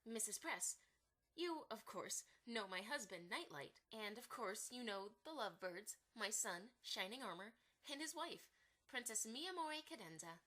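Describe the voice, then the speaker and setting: Woman as a gushing pony mom, highish voice. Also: animated, moderate pace, sharp tone. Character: chatty, eager-to-please pony mother, a mystery novelist. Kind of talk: fan radio play acting.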